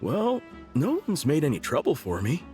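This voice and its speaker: An excitable, naive young guy in a video game. His high voice swings wildly up and down as he gushes, cracking into creak, over soft music. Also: normal speed. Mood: starstruck. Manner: gushing, eager, chuckling. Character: excitable, naive young male gang fanboy impostor.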